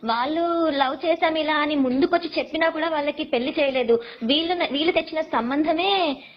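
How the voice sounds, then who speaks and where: A woman's voice: high voice, fairly quick. A young woman seeking advice, explaining her situation in an earnest, pleading way, recorded with dull sound. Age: young adult. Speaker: caller seeking relationship advice. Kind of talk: personal explanation in conversation.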